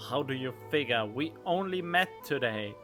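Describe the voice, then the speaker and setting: Man, game streamer, casual chat and read-aloud lines, animated pitch, music in the background. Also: moderate pace. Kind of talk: casual let's play, reading dialogue choices aloud.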